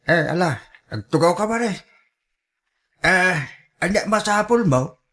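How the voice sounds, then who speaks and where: A man's voice: high-pitched, expressive, slow, pushed and bright, exaggerated voice. A man plays a middle-aged village moneylender in a radio drama. He has a Filipino Ilocano accent. He comes across as wary and practical, asking questions in a matter-of-fact way.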